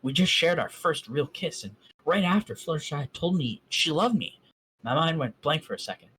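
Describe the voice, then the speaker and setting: A man's voice: a little high, animated, normal speed, a bit bright, a little hiss or hum. A man reading a fan story aloud on a voice call and breaking off for sarcastic, mocking asides. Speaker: fan fiction reader.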